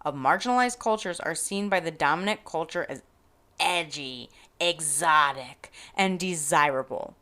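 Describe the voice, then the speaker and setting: Female podcast host, lower register, very animated intonation, scripted explainer with wry touches. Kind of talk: scripted educational podcast narration.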